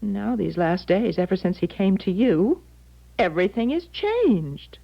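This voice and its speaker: Radio-play mother: middle-aged, insistent, agitated woman with animated delivery, dull lo-fi broadcast sound. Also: mid-range voice, measured pace, gravelly, full character voice. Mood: anxious. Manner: agitated, emphatic, theatrical. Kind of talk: 1970s radio drama acting.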